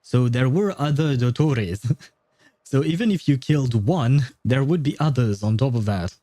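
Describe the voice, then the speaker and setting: A man's voice: mid-range voice, expressive, normal speed, mellow, no echo. A man livestreaming a video game, chatting casually and rambling in an informal way. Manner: informal, rambling, mild swearing. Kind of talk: casual livestream chat and commentary. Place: indoor streaming setup, dry. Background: faint game music.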